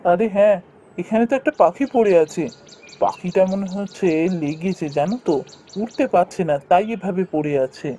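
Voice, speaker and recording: A man's voice: high voice, moderate pace, dark tone, a little hiss or hum, natural voice. A man voicing a poor, humble younger village brother in a cartoon, weary and resigned in manner. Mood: concerned. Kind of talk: cartoon voice acting.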